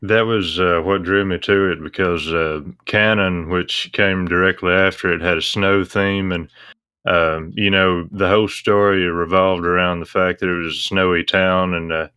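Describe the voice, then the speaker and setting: A man being interviewed, explaining things casually in a measured, matter-of-fact way. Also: sits low, fairly even intonation, bright, forceful tone, smooth, no room sound, clean studio sound. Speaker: interview guest.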